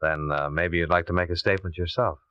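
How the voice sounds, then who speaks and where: A blunt insurance investigator in vintage radio drama, with a lowish, forceful voice. He speaks in clipped, pointed phrases, whether questioning or narrating his case. The recording is dull, dry and lo-fi. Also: steady tone, quick pace, a little hiss or hum. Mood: accusing. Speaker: lead actor and narrator. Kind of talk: 1950s radio detective drama acting.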